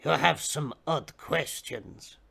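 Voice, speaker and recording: Man voicing a suave, slow-talking, bright-edged vampire bus. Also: fairly high pitch, exaggerated voice. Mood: smooth, coaxing. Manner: slow, smooth, charming. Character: suave, unhurried male vampire city bus, calmly menacing. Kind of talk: dramatic storybook reading.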